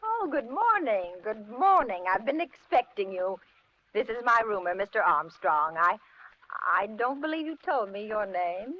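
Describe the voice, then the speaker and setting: A woman's voice: mid-range voice, big pitch swings, normal speed, close to an everyday voice. An actress playing a kindly, fussing older landlady in a 1950s radio suspense drama. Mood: cheerful, dismissive. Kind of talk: 1950s radio suspense acting and first-person narration. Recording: dull, noisy 1950s radio recording.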